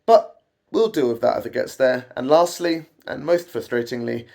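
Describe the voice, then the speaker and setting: A man teaching a guitar arrangement in a casual, wry, self-deprecating way, his voice croaky from an oncoming cold. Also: mid-range voice, expressive, normal speed, darkish tone. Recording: guitar playing behind the voice.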